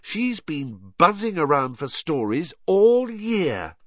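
Storybook schoolgirl played by a man: pitched up, lively intonation, precise, brisk, smugly know-it-all. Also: no room sound, muffled recording, exaggerated voice. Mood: explanatory, eager. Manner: precise, emphatic, explanatory. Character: clever teenage schoolgirl, earnest, brisk and smugly triumphant.

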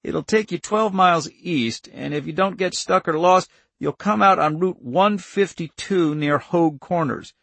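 A man voicing a plainspoken rural farmer in an audiobook, gruff but kindly, with folksy, urgent directness. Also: fairly high pitch, measured pace, few pauses, a bit bright, no echo, pitched above the performer's own voice, heavily acted. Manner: folksy, drops into rural idiom.

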